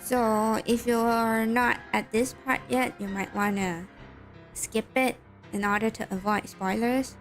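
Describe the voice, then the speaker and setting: A woman's voice: fairly high pitch, steady tone, slow pace, faint music behind. A young woman with a Malaysian accent, streaming a game and chatting casually with her viewers while reading game text aloud.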